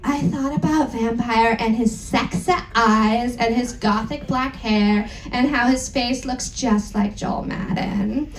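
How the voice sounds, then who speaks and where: High-voiced woman reading theatrically on stage. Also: takes it slowly, hardly any pauses, slight background noise. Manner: theatrical, mock-serious with asides. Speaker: performer giving a dramatic reading. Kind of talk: comic live dramatic reading. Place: live event room with audience. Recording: roomy live stage sound.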